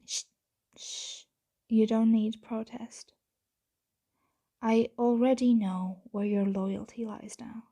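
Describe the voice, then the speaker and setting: A woman voicing a possessive yet tender vampire owner in an ASMR roleplay, speaking softly and often whispering in formal, gently commanding words close to the mic. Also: a little high, heavily acted. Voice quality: soft, breathy, often whispered. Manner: slow, hushed, gently commanding, formal wording. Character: possessive, tender female vampire owner addressing her servant.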